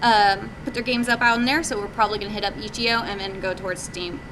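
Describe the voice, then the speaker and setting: A woman's voice: mid-range voice, quick pace, crowd noise. A woman answering questions informally at a meetup as an indie game developer, casual and a little hesitant, thinking aloud. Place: indoor meetup presentation, live. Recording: noisy live room recording, steady hum.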